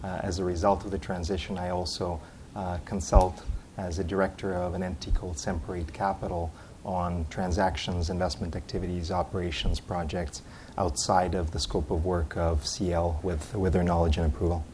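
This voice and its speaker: A man introducing himself on a finance panel, flat and businesslike with many pauses. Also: deep voice, a little hiss or hum. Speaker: panelist, private equity investor. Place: conference hall.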